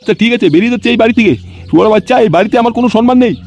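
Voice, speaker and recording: A man's voice: very high-pitched, very fast, darkish tone. A man voicing a crude, whiny, blustering liquor-loving husband in a comedy cartoon. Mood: indignant. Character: boastful, crude husband who loves his liquor. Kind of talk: amateur comedy cartoon voice acting.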